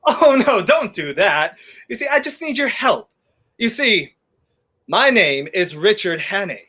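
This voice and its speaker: Young male actor as a charming, urgent fugitive, 1930s radio drama, lively pitch, bright tone. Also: a little slow, exaggerated voice. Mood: pleading, earnest. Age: 20s. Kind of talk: 1930s radio play acting.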